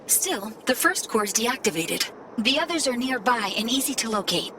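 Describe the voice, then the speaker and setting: Woman playing a prim, high-voiced AI guide. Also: animated, normal speed, distant mic, noisy background, close to an everyday voice. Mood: informative. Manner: prim, gently wry, formal. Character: polite, fussy female alien facility AI guide. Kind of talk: scripted video game acting. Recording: loud game music over voice.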